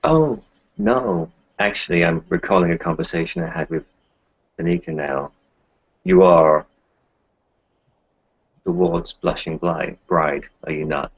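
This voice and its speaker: Man as worldly doctor adviser: deep, gravelly, gently patronising, tabletop game, muffled lo-fi audio. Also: animated, unhurried, pauses often, full character voice. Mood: curious, teasing. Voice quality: gravelly, husky. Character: worldly kinfolk doctor, frank, wry adviser. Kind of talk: improvised tabletop roleplay dialogue. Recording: dull lo-fi voice recording.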